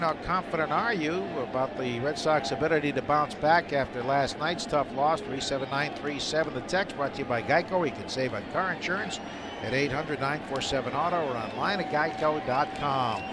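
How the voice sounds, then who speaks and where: A man calling a baseball game play by play as live radio commentary. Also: fairly high pitch. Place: outdoor stadium broadcast. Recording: very noisy outdoor ballpark broadcast. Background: loud steady outdoor ambient noise.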